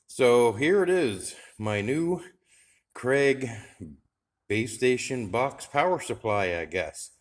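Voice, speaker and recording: A man talking casually to camera as a radio hobbyist vlogger, chatting loosely while he tinkers. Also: medium pitch. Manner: relaxed and chatty, thinking aloud while tinkering. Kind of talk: casual how-to vlog.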